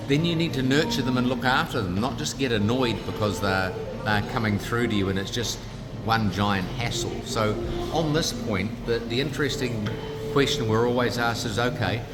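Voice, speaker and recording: A middle-aged man with a New Zealand accent, a business founder making an upbeat, punchy pitch in a casual interview. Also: moderate pace. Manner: upbeat, punchy salesman delivery. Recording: background music, trade fair.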